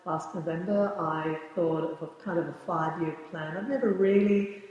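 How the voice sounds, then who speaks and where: A woman in her sixties, a yoga teacher, answering interview questions thoughtfully and often pausing to search for words. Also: fairly low pitch, darkish tone, dull audio. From born in the United States, raised in the Bahamas. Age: 60s. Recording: very echoey room with long reverb.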